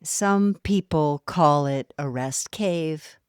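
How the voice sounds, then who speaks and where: A woman guiding a yoga nidra relaxation, speaking softly and soothingly with long pauses between phrases. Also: low voice, clear, resonant tone, dry recording, clean studio sound. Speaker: meditation guide. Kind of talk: guided yoga nidra meditation.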